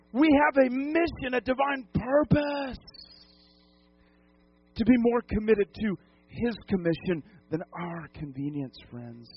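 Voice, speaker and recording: A high-pitched male pastor from New England preaches to his congregation, pressing his points through repetition and direct questions. The recording sounds dull and lo-fi, as if taken in a church sanctuary. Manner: emphatic, repeats phrases, asks the congregation questions. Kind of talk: sermon.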